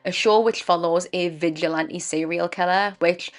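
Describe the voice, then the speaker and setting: A young woman hosting a true crime podcast, her voice croaky and dry from a cold, telling the case in an earnest, measured way. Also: lowish voice, steady tone. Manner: earnest, measured storytelling with emphatic stresses. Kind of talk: scripted true crime narration.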